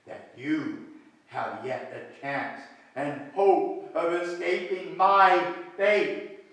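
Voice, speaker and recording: A man's voice: fairly high pitch, very slow, a bit bright. An older man on stage playing the solemn, tormented ghost of a dead businessman, declaiming his remorse theatrically. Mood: grave warning. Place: small indoor theatre room with audience. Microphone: far camera. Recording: echoey small hall, distant microphone.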